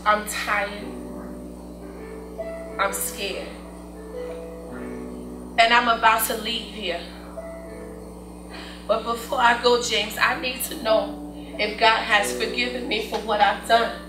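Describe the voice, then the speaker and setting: A gravely ill American woman, played by an actress in a gospel stage play, speaking slowly in a fairly high voice. She is guilt-ridden and pleads haltingly. It is recorded from a distance on an echoey stage. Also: steady tone, music in the background. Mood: weary, fearful. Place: indoor stage before an audience.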